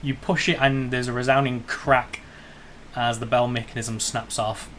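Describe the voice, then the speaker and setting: A man's voice: medium pitch, level tone, moderate pace, fairly bright tone, a little hiss or hum. A man narrating an improvised tabletop game as its game master.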